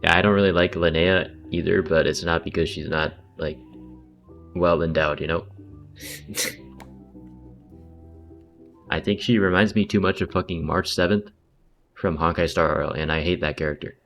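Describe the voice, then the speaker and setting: Low-voiced slangy male streamer. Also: steady tone, moderate pace, long pauses, fairly bright tone, faint music behind. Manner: slangy, swearing, chatty. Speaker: game streamer. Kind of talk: casual livestream commentary.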